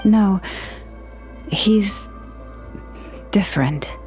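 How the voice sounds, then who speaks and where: An earnest young woman leader in a video game. Her mid-pitched voice stays quiet and measured, with a solemn weight to each line. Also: soft background music. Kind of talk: video game dub acting.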